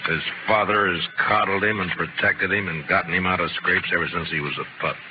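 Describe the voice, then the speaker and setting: An actor playing a stern, steady Old West marshal in a 1950s radio drama, speaking in terse, dry commands. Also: male voice, low-pitched, a little fast, natural voice. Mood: relaxed, dry. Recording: noisy, muffled old-time radio recording.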